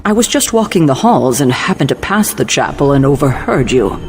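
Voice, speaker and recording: An actor playing a stern, protective male leader in a video game, crisp, formal and easily indignant. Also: mid-range voice, very animated, normal speed, darkish tone, soft background music, lightly acted. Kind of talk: video game cutscene acting.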